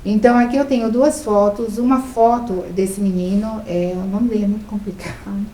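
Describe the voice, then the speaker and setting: A woman's voice: medium pitch, steady tone, normal speed, warm tone, echoey room, slight background noise, poor audio. A woman giving an informal, heartfelt talk to an audience, telling a story earnestly and often checking in with her listeners. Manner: earnest, emotional storytelling with frequent "né?". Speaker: speaker sharing volunteer experience.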